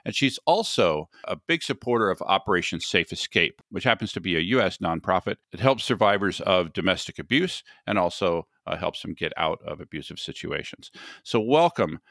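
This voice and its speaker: A low-pitched American man hosts a podcast with a bright, forceful tone. He talks in a relaxed, chatty way and jokes at his own expense. The recording is dry and close, with no room sound. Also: gravelly, no echo. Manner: chatty, self-deprecating, quick to laugh. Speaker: podcast host. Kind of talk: casual podcast chat. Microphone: sensitive podcast mic.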